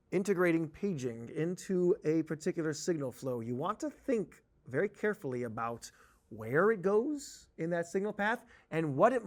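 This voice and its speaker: A man giving a conversational software tutorial as an instructor, explaining carefully with cautionary advice and stressed key words. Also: fairly high pitch. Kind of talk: conversational tutorial narration over a software demo.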